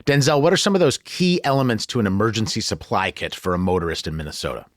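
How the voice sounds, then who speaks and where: A man's voice: lowish voice, lively intonation, fast talker, no room sound, clean studio sound. A man hosting a news podcast in a conversational style. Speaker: podcast host.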